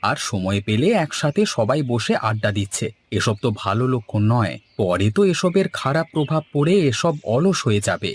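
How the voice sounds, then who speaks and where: A man's voice: mid-range voice, expressive, moderate pace, few pauses, a little hiss or hum, natural voice. An actor playing an eager, persuasive village man in a cartoon folk tale. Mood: earnest, persuasive. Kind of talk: animated cartoon dialogue in Bengali.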